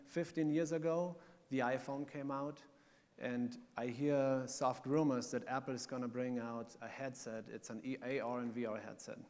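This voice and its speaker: A man's voice: mid-range voice, steady tone, normal speed, darkish tone. A man from Germany with a German accent, speaking on a conference panel in an earnest, explanatory way with some filler ums. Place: conference hall. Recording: long hall reverb.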